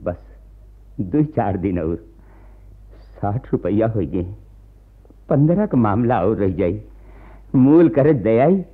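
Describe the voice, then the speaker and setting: An actor playing a poor, god-fearing peasant in a radio play, deferential and pleading, with a rural Awadhi-flavoured North Indian accent. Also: male voice, medium pitch. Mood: worried. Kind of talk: Hindi radio play acting. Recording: muffled lo-fi radio archive recording.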